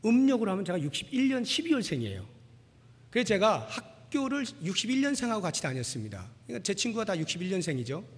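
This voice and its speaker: Male pastor in his forties, high-pitched, husky voice, conversational sermon, self-deprecating storytelling. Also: big pitch swings, fast. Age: 40s. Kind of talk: sermon with personal anecdotes. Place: large reverberant church hall. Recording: long hall reverb.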